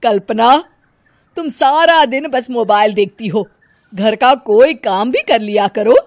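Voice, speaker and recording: A woman's voice: fairly high pitch, slow pace, no echo, studio quality. A woman voicing a taunting, bossy middle-aged mother-in-law in a cartoon story. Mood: scolding.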